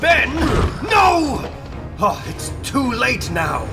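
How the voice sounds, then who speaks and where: A man playing a desperate, vengeful fighter, shouting frantically in a comic book dramatic reading. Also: very high voice, wildly swooping pitch, very slow, gravelly, over loud music, heavily acted.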